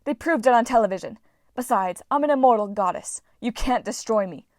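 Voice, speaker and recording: A woman voicing a furious, grandiose goddess of victory caught in a net, in a storybook reading, with shrill, booming proclamations. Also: moderate pace, dry recording, clean studio sound, natural voice. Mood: outraged.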